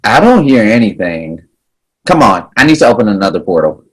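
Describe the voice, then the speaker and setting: A man playing a brave, brash young sorcerer with a husky voice in a fantasy screenplay table read. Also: on the low side, sing-song, brisk, low-quality recording. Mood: urgent.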